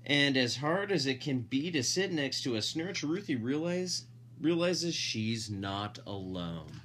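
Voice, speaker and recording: A man's voice: medium pitch, big pitch swings, unhurried, fairly bright tone, slight background noise. A dad reading a picture book aloud expressively, drawing out emphasized words and adding playful, casual asides to his listeners. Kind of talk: animated storybook read-aloud with casual asides.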